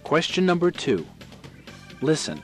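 American male lesson presenter, very measured pace, lively intonation, scripted instructional read. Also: fairly high pitch, faint music behind. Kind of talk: scripted instructional question drill.

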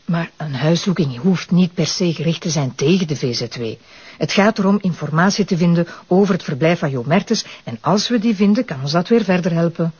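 A woman with a Flemish accent playing a concerned, diplomatic magistrate in a crime drama, with a husky voice. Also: lowish voice, lively intonation, brisk, a little mellow. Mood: calmly persuasive. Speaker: actor playing a legal official. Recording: muffled lo-fi audio.

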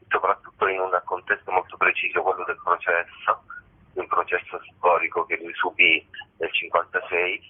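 Low-voiced man on a phone line. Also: husky. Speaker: stage actor interviewed about a show. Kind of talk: phone interview. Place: on a phone call to a radio station. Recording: muffled narrow telephone line.

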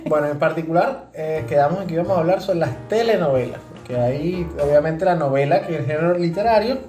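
A man chats as a podcast co-host, joking and wandering into explanations. His voice sits in an echoey room, with music clearly playing behind it. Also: on the high side, lively intonation, fast talker. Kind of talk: casual humorous chat. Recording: roomy echo, music behind voice.

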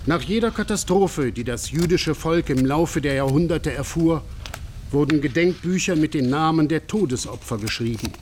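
A man reading a scripted radio documentary narration in a solemn, measured manner. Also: on the high side, lively intonation, darkish tone, a little hiss or hum, natural voice.

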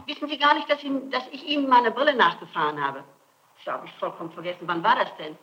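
A woman's voice: on the high side, fast talker, a bit bright, lo-fi sound. A middle-aged woman under interrogation, answering with insistent, emphatic and repeated denials on an archival tape. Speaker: suspect being questioned by police and prosecutors. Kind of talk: interrogation testimony, spontaneous speech.

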